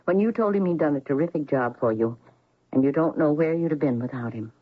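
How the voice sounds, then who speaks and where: Genteel older mother, radio drama: low female voice, refined and reminiscing, muffled vintage recording. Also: lively intonation, brisk, husky. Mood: earnest. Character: chatty, genteel older mother, nostalgic remarried widow. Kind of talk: old-time radio drama acting. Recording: dull lo-fi old radio recording.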